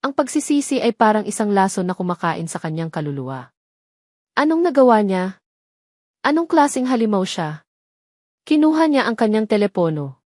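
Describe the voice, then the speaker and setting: A woman reading a scripted dramatic story as narrator, measured, with pauses between short phrases. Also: medium pitch, big pitch swings, a little mellow, dry recording, clean studio sound.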